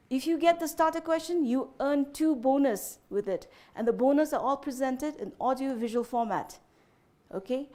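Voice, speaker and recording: Chatty woman quizmaster, slightly high voice. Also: darkish tone. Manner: chatty, frequent "you know" fillers, checks understanding with "okay?". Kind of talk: explaining quiz rules to contestants.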